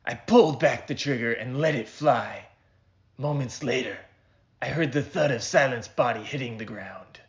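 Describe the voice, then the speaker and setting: A man's voice: mid-range voice, normal speed. A man reading his own short story as a dramatic, suspenseful narration with theatrical delivery.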